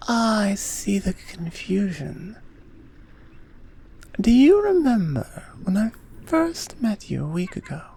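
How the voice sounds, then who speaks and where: A woman playing a gentle, earnest Amazonian queen in an intimate roleplay ASMR style, speaking softly and half in a whisper. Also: mid-range voice, big pitch swings, slight background noise, full character voice. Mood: reassuring, confiding. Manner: soft, breathy, measured, half-whispered delivery. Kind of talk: roleplay ASMR, intimate second-person audio drama.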